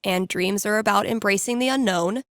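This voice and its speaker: Casting: actress for young American female radio DJ in a video game, sardonic and playful, naturalistic improvised-storyteller feel, mid-range pitch, close dry studio sound. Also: moderate pace, a bit bright, no echo, natural voice. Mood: teasing, wry. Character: wry young female small-town radio DJ, playful and teasing.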